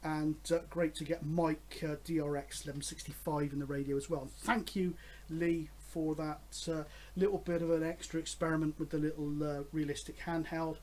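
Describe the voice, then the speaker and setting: High-voiced man in his fifties, chatting casually. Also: flat delivery, moderate pace, a little mellow, a little hiss or hum, low-quality recording. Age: 50s. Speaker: host of a radio hobby vlog. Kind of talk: casual, rambling chat to camera.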